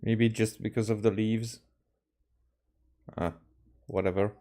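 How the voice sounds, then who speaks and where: Mellow man, gaming commentary. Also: lowish voice, level tone, slow, a little hiss or hum. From Hungary. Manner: muttering, thinking aloud. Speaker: game streamer. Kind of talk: casual let's-play commentary.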